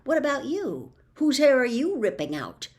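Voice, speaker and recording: Storybook schoolboy, read by a woman, doubtful and grudging about schemes, expressive pitch movement. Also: normal speed.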